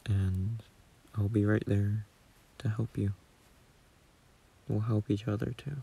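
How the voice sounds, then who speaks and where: A man playing a gentle, shy, doting young boyfriend in a sleep-aid audio roleplay, murmuring softly and hesitantly close to a phone mic. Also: fairly low pitch, flat delivery, takes it slowly, heavily acted. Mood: soothing, tender. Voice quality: husky, soft, breathy murmur.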